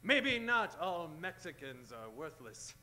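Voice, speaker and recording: A man plays a bumbling cowboy hero in a radio spoof. The voice is high, bright and sharp, swinging widely in pitch. He is hammy, pompous and casually bigoted. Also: very animated, takes it slowly, full character voice. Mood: self-important. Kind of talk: comic old-time radio acting.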